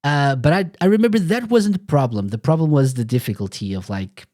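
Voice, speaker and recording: A man's voice: on the high side, lively intonation, a little fast, dark tone. A man from Portugal hosting a podcast, telling rambling stories in casual chat and correcting himself as he goes. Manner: rambling, self-correcting storyteller. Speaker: podcast host.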